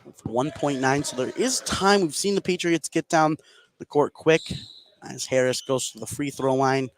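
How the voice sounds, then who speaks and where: A man's voice: medium pitch, normal speed. A man doing live radio play-by-play of a basketball game, following the action in short clipped phrases. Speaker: play-by-play sports announcer. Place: indoor high school gym. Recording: live sports broadcast with clear gym noise. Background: clear game noise.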